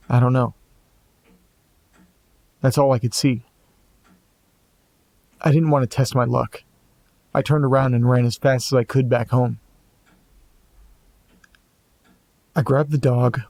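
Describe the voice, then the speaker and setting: A man playing an anxious amateur investigator in a horror audio drama, muttering hesitantly to himself in a gravelly voice. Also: mid-range voice, darkish tone, dry recording. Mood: uneasy, hushed. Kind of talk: audio drama monologue, reading and musing aloud.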